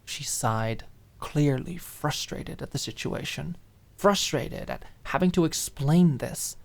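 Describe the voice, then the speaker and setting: A woman's voice: deep voice, lively intonation, slow pace, vocal fry, darkish tone. Woman narrating dramatic audiobook fiction.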